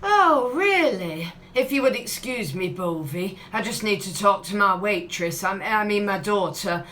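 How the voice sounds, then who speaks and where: Eager, apologetic female bar owner for radio comedy, acted by a woman, bright mid-pitched voice, noisy background. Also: expressive, measured pace. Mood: flustered. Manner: polite, hesitant, apologetic. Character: polite, eager, easily flustered female milkshake bar owner. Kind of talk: audio comedy radio play acting.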